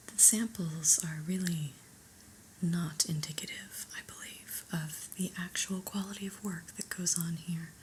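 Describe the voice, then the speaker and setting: A woman playing a stern, unimpressed new office boss in an ASMR roleplay, speaking in a breathy whisper with a measured, formal, reproving manner. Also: on the low side, slight background noise. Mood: stern, businesslike. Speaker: ASMR performer.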